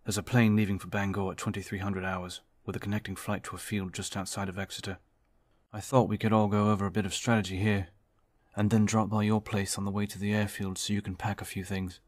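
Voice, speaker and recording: A man's voice: fairly low pitch, flat delivery, fast talker, no echo, lightly acted. Man acting composed, formal strategist, projected voice. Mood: measured, resolute. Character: David, measured courteous male team strategist. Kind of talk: audiobook character dialogue. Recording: music behind the voice.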